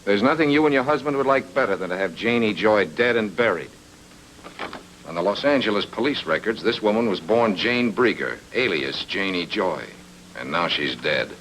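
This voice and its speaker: A man's voice: lowish voice, animated, moderate pace, a little hiss or hum, low-quality recording. An actor playing a hard-boiled, sardonic private detective in an old film noir radio drama, with clipped, slangy tough-guy delivery. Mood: grim, probing. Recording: old radio drama with loud music behind.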